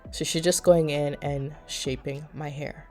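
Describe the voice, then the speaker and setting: A woman's voice: low voice, steady tone, a little slow, darkish tone, faint music behind. A young woman narrating a hair vlog in a chatty, explaining way, a bit nasal from a cold. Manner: chatty, explanatory, addresses viewers as you guys. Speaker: beauty vlogger. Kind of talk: casual vlog voice-over.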